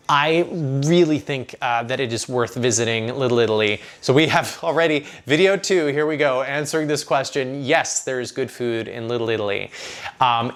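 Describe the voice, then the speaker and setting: A man's voice: mid-range voice, fairly bright tone. A man hosting a food vlog, talking casually to viewers.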